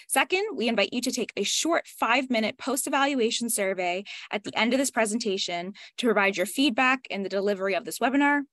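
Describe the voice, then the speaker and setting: A woman, a webinar moderator reading a prepared introduction over a video call in a measured way. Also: medium pitch, fairly bright tone, no echo.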